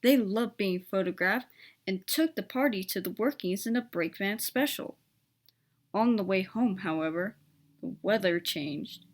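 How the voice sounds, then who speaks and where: A woman's voice: mid-range voice, unhurried. A woman reading a children's story aloud as a scripted narration.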